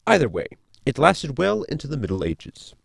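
A man's voice: mid-range voice, wildly swooping pitch, normal speed, vocal fry, husky, no room sound, studio quality, heavily acted. A man playing a polite, pedantic robot crewmate who explains things in a sci-fi comedy audio drama. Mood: lecturing. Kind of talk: sitcom audio drama acting.

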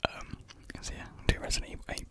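Young British male, close-miked breathy whisper, casual and hesitant ASMR chat. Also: fast. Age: young adult. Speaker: ASMR video creator. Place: small indoor room at home. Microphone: large USB desktop mic, very close.

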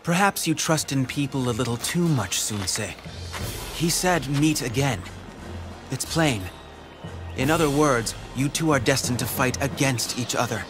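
A man plays a shrewd young strategist of ancient China in a game cutscene. He has a medium-pitched voice and a formal, measured, philosophical delivery. Music is very prominent behind him. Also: close to an everyday voice. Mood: wry, assured. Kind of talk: dramatic video game dubbing.

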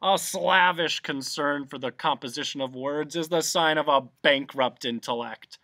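Casting: pompous old storybook humbug bug, acted by a narrator, boastful, forceful, pitched up and wildly animated. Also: unhurried, dry recording. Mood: pompous boasting. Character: blustering pompous old humbug bug, self-important fraud.

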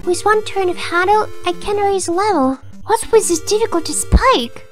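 An excitable, friendly teenage girl from a comic dub. Her voice is tiny and extremely high, bouncing eagerly up and down. Soft music plays underneath. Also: moderate pace. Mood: cheerful. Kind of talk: animated comic dub acting. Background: faint music.

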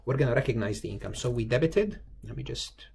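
Male lecturer, mid-pitched, conversational step-by-step explainer who restates points; some noise and clicks in the recording. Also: lively intonation, fast talker, creaky, a little mellow. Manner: repeats and rephrases himself, conversational. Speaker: accounting lecturer. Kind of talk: informal screen-recorded tutorial, explaining step by step.

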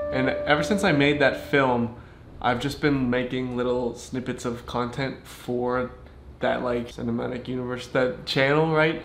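A young man chatting casually to camera as a filmmaker, rambling in a slangy, self-deprecating way. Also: mid-range voice. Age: young adult. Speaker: vlogger and filmmaker. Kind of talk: casual behind-the-scenes video chat. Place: small home kitchen and living room, indoors. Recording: roomy echo, off-mic, music behind.

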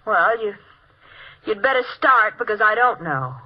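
A woman's voice: mid-range voice, animated, unhurried, breathy edge. An actress playing a young woman, hesitant yet defiant, in a 1950s radio crime drama. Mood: uneasy defiance. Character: young woman, plucky but frightened gangsters' captive. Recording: old noisy muffled radio recording.

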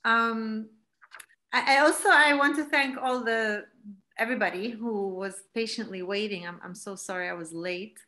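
A woman's voice: a little high, normal speed, pushed and bright. A woman in her forties from Palestine, giving a reflective online talk as a guest speaker, with many fillers and restarts, heard through a laptop on a video call. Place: home room, indoors. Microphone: laptop webcam video call.

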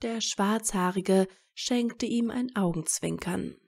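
Slow, mellow woman narrator with creaky voice. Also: mid-range voice. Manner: measured storytelling. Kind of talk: scripted story narration of fan fiction.

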